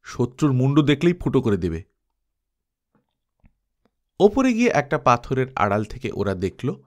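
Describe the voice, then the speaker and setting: Man narrating an adventure story expressively. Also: medium pitch. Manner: expressive, acts out characters' dialogue. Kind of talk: dramatized audiobook narration.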